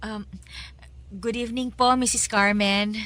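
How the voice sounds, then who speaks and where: Timid young Filipino woman, highish voice. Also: fairly even intonation, unhurried, sharp tone, no room sound. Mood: shy, polite. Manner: hesitant, deferential. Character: timid, uneasy, polite young single mother. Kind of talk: radio drama acting.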